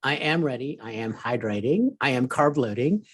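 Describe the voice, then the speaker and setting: A man's voice: mid-range voice, expressive, moderate pace, husky. A man co-hosting a podcast, chatting casually and asking short, easygoing questions. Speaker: podcast co-host.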